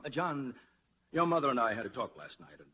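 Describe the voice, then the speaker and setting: A man's voice: mid-range voice, big pitch swings, fairly quick, pushed and bright, husky, dull audio, heavily acted. An actor playing a worried, middle-aged father with a hesitant, fatherly manner in a 1940s radio drama. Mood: gentle, resigned. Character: worried middle-aged father, cautious small-town politician, gently resigned. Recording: noisy vintage 1940s radio broadcast.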